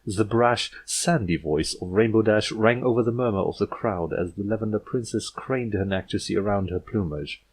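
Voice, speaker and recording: A man's voice: lowish voice, normal speed, hardly any pauses, a little hiss or hum. A man reading a scripted story aloud as an audiobook narrator.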